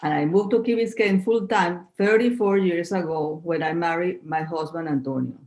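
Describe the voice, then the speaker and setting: A woman's voice: fairly low pitch, normal speed, darkish tone. A woman in her fifties from Venezuela, a native Spanish speaker, answering interview questions in a podcast in an earnest, slightly formal way. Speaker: guest.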